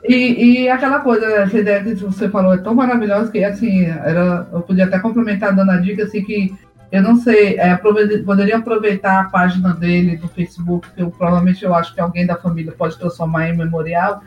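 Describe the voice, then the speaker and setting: A man's voice: high-pitched, fairly even intonation, a little fast, far from the mic, dull audio, faint music behind. A man co-hosting a casual chat, talking hesitantly with many fillers, on echoey remote audio. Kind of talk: casual conversation on a live stream.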